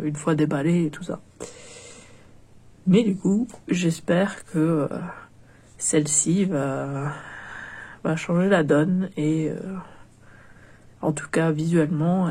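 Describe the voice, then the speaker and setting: A young woman talks through a doll unboxing with a stuffy, nasal voice from a cold. Her tone is husky and sits a little low. She hesitates often, filling gaps and restarting her sentences. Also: mellow. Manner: hesitant, many fillers and restarts, chatty. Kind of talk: casual unboxing commentary.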